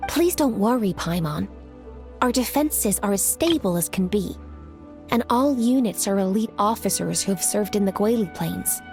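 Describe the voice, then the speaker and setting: A woman's voice: mid-range voice, lively intonation, darkish tone, soft background music, natural voice. Woman acting a composed, authoritative lady commander. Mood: assured, resolute. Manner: measured, formal, businesslike. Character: composed, authoritative noblewoman commander, strategic and precise. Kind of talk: video game voice acting.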